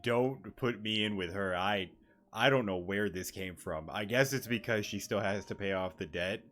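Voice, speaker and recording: Lowish-voiced man doing casual game commentary. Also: normal speed, fairly bright tone. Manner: wry, joking asides. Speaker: gaming YouTuber. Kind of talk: casual let's-play commentary. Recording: game music underneath. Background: game music.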